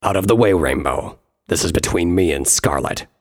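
A narrator doing a deep, growling warrior pony captain. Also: normal speed, no room sound. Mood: grim resolve. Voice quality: growling, husky. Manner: grave, commanding. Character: stern, menacing male warrior pony captain.

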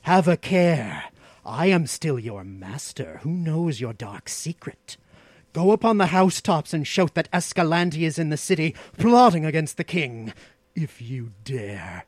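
A man's voice: fairly high pitch, very animated, unhurried, no room sound, pitched above the performer's own voice. A man voicing an ambitious, scheming outlaw in a fantasy audiobook, with an imperious, mocking, declaiming delivery. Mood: menacing. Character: ambitious, scheming, arrogant male outlaw plotter, impatient master. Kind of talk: dramatic fantasy audiobook dialogue.

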